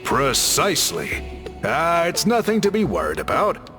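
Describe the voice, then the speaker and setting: A man's voice: a little high, huge pitch swings, a little slow, music in the background. A man voicing a polite, composed scholar in a video game, with courteous, precise and formal phrasing. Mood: composed, explaining. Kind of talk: video game character acting.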